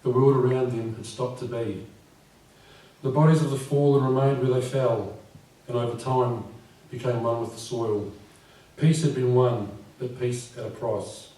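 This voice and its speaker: A man in his fifties with a croaky voice, reading his poems at a live event and speaking gently and unhurriedly. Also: mid-range voice, steady tone, a little slow. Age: 50s. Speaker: poet. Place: live venue with audience. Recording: echoey room from far off.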